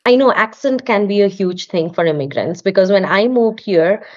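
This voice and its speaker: A woman's voice: medium pitch, expressive, moderate pace, muffled recording. A young woman with an Indian English accent, talking as a podcast guest in an earnest, encouraging way. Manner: earnest, encouraging, with frequent restarts. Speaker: podcast guest, artist and studio owner. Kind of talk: casual interview talk.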